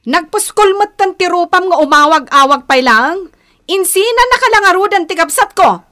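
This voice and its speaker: A woman's voice: very high-pitched, expressive, moderate pace, bright, forceful tone, heavily acted. A woman playing a protective, skeptical older-sister figure, bossy and emphatic, in an Ilocano radio drama. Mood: scolding. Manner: scolding, emphatic.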